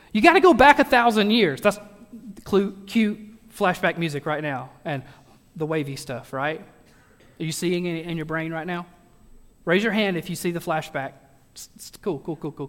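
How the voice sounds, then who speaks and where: A man's voice: sits high, a bit bright. A man preaching a casual, joking sermon to a congregation, chatty and self-deprecating, with frequent restarts. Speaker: pastor. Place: church sanctuary. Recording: long hall reverb.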